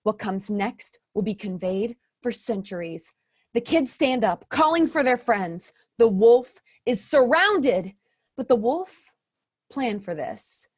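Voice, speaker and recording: A woman's voice: on the high side, very animated, slow, slightly rough. A woman narrating a fairy tale stage play in a storybook manner. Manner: storybook narrating with an ironic aside.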